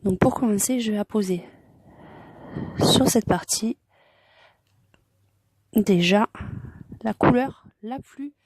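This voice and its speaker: A woman's voice: medium pitch, takes it slowly, pauses often, a little mellow, no echo. A woman with a head cold hosting an informal hands-on colouring tutorial, murmuring hesitant, short step-by-step remarks as she works. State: congested with a cold.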